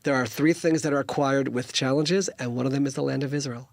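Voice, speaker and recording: A man's voice: mid-range voice, fairly even intonation, quick pace, creaky, no room sound. A man in his fifties, originally from the United States, earnest and preachy as a studio interview guest, often restarting his sentences. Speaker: guest, politician.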